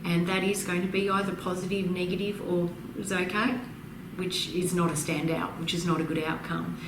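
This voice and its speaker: A woman consultant in an interview, explaining in a measured, emphatic way and listing her points one by one. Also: fairly low pitch, little pitch movement. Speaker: practice consultant and author being interviewed. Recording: roomy, distant mic, noisy background.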